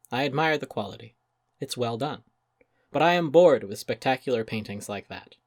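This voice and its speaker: A man voicing a pompous, self-serious male avant-garde chef in a dramatic reading, portentous and full of pauses. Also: medium pitch, no echo, clean studio sound, lightly acted. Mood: lofty, dismissive. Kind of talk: dramatic reading of an open letter.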